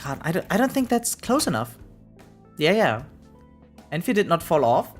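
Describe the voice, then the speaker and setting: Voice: mid-range voice, sing-song, moderate pace. A game streamer chatting casually and thinking aloud in a rambling way, often checking in with a questioning tag. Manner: rambling, repeatedly tags sentences with "right?". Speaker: variety game live streamer. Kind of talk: casual live stream chat, thinking aloud while gaming. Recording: music playing behind the voice. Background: background music.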